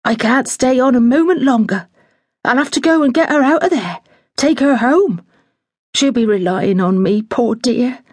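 A woman's voice: fairly high pitch, moderate pace, a little mellow, slightly rough, dry recording, studio quality, pitched above the performer's own voice. A woman doing a fussy housekeeper. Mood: anxious. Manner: fussy, dramatic, blunt. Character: flustered middle-aged female housekeeper, bossy.

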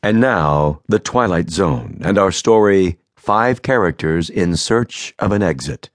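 A man's voice: deep voice, lively intonation, measured pace, dry recording. A man giving a formal, scripted announcement for a radio drama.

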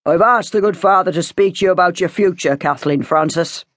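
Stern middle-aged father played by a woman narrator, curt, bossy, sharp-tongued, audiobook character, close dry sound. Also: fairly low pitch, moderate pace, no echo, voice pushed up, natural voice. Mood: firm.

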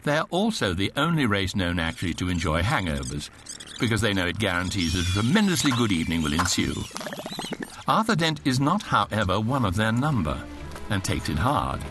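An actor as a wry, urbane narrator of a comic science fiction radio drama. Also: male voice, lowish voice, very animated, music in the background. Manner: wry, precise, measured irony. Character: wry, urbane storybook narrator of galactic absurdities. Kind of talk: scripted narration, radio comedy.

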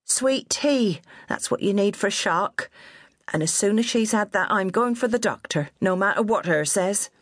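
A woman's voice: medium pitch, expressive, unhurried, dry recording, clean studio sound, pitched above the performer's own voice. A woman voicing a bossy, stubborn old countrywoman with a who scolds bluntly. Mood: firm.

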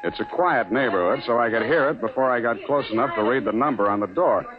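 An actor playing a cool, wry private detective in a 1940s radio drama, with a dry, matter-of-fact delivery. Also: male voice, mid-range voice, fast talker, a crowd in the background, close to an everyday voice. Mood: wry narration. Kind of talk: 1940s radio acting with scripted first-person narration. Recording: muffled old radio recording with music.

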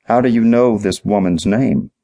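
A man's voice: fairly low pitch, moderate pace, gravelly, warm tone, pitched above the performer's own voice. Man playing a sarcastic, exasperated police detective. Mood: patient, probing. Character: male police detective, weary, sarcastic, impatient with fools.

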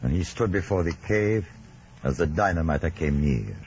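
A man's voice: low voice, lively intonation, normal speed, darkish tone. A man narrating a scripted radio drama in a dramatic storytelling style. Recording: muffled old radio recording, faint noise.

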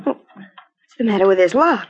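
A woman's voice: medium pitch, animated, a little slow, a little hiss or hum, natural voice. A woman playing a brash, wisecracking, possessive Broadway star in slangy 1940s radio drama style. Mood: fond, weary. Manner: slangy, wry. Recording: dull old-time radio recording.

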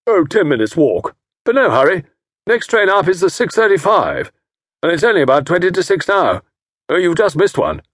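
A man reading aloud, voicing a loud, jovial middle-aged man who booms and laughs. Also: sing-song, normal speed, dry recording, studio quality, heavily acted. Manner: booming, laughing, hearty.

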